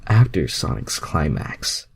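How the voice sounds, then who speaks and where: A man with a very low, husky voice reads a story aloud at a slow pace. He narrates as an amateur and breaks off into self-mocking, exasperated, sweary remarks. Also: sing-song. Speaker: amateur fan fiction reader.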